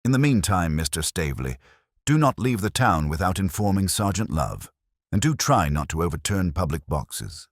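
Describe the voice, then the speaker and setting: A man voicing a gentle, dryly witty police inspector in an audiobook mystery, measured and quietly authoritative. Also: deep voice, sing-song, no room sound, studio quality, voice pushed up, exaggerated voice. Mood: dryly wry.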